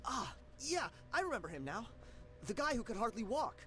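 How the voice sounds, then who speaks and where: A man's voice: extremely high pitch, sing-song, normal speed, fairly bright tone, exaggerated voice. A man playing a nervous, evasive and spiteful gang member under questioning in a video game, stammering defensively. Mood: spiteful, dismissive.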